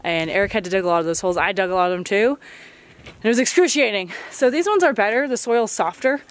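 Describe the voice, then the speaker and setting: A woman's voice: medium pitch, quick pace. A woman in her thirties casually explaining a homestead building project to the camera outdoors. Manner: chatty and explanatory. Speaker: homesteading vlogger. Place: outdoors at a snowy forest homestead.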